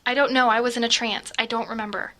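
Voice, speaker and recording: A troubled teenage girl in a spooky audio drama. Her voice is fairly high, bright and tense. She sounds fragile and guarded, speaking in halting, defensive phrases. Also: steady tone. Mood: shaken, fearful.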